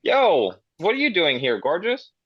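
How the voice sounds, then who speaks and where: A man's voice: high-pitched, wildly swooping pitch, moderate pace, breathy edge, no room sound, muffled recording. A man reading a brash, foul-mouthed character's lines at a table read. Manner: brash and profane. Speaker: cast member at a table read. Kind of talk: casual table read performance.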